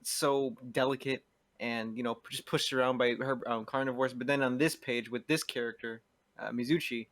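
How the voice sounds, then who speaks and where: A man chatting casually on a podcast panel, halting and repeating words as he goes. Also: mid-range voice, steady tone, fairly bright tone, no room sound. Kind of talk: casual chat.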